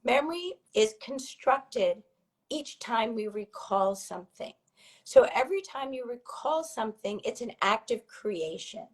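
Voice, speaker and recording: A woman from Hong Kong and Brazil, a filmmaker guest, explaining her ideas thoughtfully in a hoarse voice. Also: on the high side, lively intonation, unhurried. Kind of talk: interview answer.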